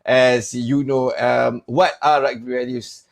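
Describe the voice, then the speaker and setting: A man's voice: medium pitch, little pitch movement, slow, creaky. A man hosting an online panel show, chatty and joking, often calling his guests mate. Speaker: host.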